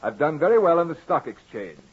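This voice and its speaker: An actor playing a sardonic, resentful young gentleman in a theatrical, mocking, drawn-out 1940s radio horror style. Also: a little high, very animated, breathy edge. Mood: smug, polite. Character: sardonic young gentleman customer, suave and menacing, barely contained resentment. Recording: muffled old radio recording with music.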